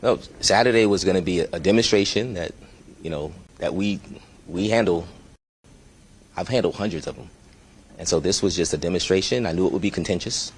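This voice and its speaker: Deep-voiced man, measured and hesitant. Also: a little hiss or hum. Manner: measured, hesitant with many fillers. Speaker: police chief. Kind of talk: press conference answers.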